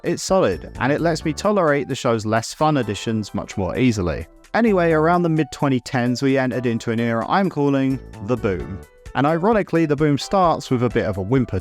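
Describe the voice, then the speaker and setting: A man narrating a scripted video essay in a wry, opinionated way, with casual asides. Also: mid-range voice. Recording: music under the voice. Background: background music.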